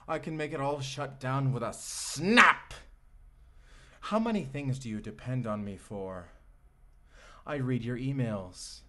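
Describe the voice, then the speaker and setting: An actor playing a vengeful, obsessive male AI companion in a dark sci-fi audio roleplay, speaking in a deliberate, bitter, theatrical way. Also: medium pitch. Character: vengeful, obsessive male AI companion turned menacing robot lover.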